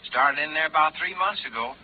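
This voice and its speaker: An actor playing a folksy, chatty Southern local informant in a 1950s radio drama, drawling and unhurried. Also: male voice, a little high, lively intonation, husky. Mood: gossipy, helpful. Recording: muffled, faintly noisy vintage radio recording.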